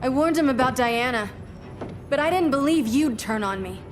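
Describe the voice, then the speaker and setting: An actress playing an earnest, wary young superheroine in a video game, speaking with urgency. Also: female voice, high-pitched, animated, normal speed. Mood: urgent, hurt. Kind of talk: cinematic video game voice acting. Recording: loud music behind.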